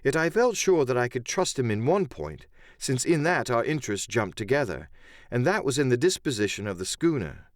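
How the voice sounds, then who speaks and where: Male narrator, mid-pitched, expressive range, dramatic scripted storytelling for a seafaring adventure audiobook, close dry sound. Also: normal speed, dry recording.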